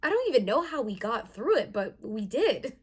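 A young woman playing an earnest first-generation college student in a conversational, emotive dramatized monologue with hesitant pauses. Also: on the high side, very animated, husky. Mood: frustrated, earnest. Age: young adult.

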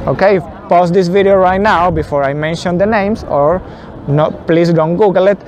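A man in his thirties whose first language is not English, slowly explaining football tactics at a whiteboard outdoors, often saying "okay". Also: high voice. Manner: slow, deliberate, frequent "okay" fillers. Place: outdoors in a city square. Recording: faint outdoor city noise.

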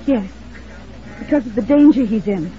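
Woman acting nervous young gal in old radio drama, high pitched, pleading, earnest, tinny phone sound. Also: expressive, moderate pace, natural voice. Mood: urgent, fearful. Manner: urgent, earnest, polite. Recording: thin, phone-like old radio recording, noisy.

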